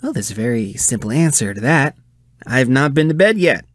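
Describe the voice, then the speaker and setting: A woman voicing an expressive, chatty young animal character in an amateur cartoon dub. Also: very deep voice, normal speed, no room sound, clean studio sound, full character voice. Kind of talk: amateur animated fandub acting.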